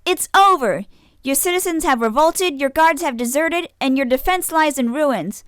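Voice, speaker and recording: A commanding young rebel pony mare, as a woman acts her. The voice is high and bold, recorded dry, in the manner of a comic audio drama. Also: expressive, normal speed, dry recording. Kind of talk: comedic audio drama acting.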